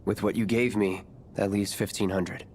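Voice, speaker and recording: An actor playing an aloof young mercenary in a video game, speaking in a cool, clipped, deadpan manner. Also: male voice, on the low side, normal speed, a little hiss or hum, close to an everyday voice. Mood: businesslike. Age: young adult. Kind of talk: video game cutscene voice acting. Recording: background music under dialogue. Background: game music.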